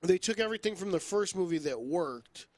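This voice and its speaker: A man's voice: sits high, expressive, brisk, darkish tone, dry recording. A man hosting a podcast, talking in a casual, conversational style. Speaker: podcast host. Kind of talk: casual chat.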